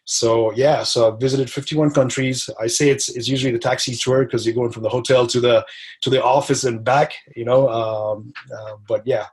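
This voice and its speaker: Husky-voiced man telling his story. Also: medium pitch, steady tone, normal speed. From grew up in Dubai. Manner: chatty, tags lines with "right?" and "you know". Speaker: podcast guest. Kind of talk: casual interview storytelling.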